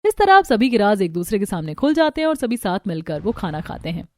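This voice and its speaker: A woman's voice: medium pitch, sing-song, fast, dry recording, clean studio sound. A woman storyteller reading scripted narration for a cartoon tale.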